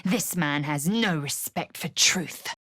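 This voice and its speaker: A husky, blunt warrior woman. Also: slow, dry recording, clean studio sound, heavily acted. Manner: clipped, commanding, often low and hushed. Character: fierce githyanki warrior woman, proud and blunt. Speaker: game character. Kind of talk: studio game voice acting.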